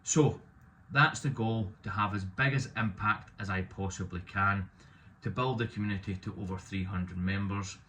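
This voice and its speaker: A man in his thirties, loud and opinionated with a self-deprecating streak, talking casually to camera about his business. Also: fairly low pitch, normal speed, a little hiss or hum. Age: 30s. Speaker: business owner vlogging.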